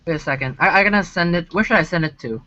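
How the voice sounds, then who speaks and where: High-voiced man on a call. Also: fast talker, sharp tone. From Hong Kong. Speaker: stream host. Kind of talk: casual online voice chat. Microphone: online voice call. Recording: lo-fi call audio with faint noise.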